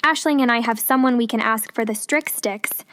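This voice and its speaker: A woman's voice: on the high side, normal speed, fairly bright tone, a little hiss or hum, natural voice. A woman voicing a kind young potion-making witch in a storybook reading, friendly and lightly teasing.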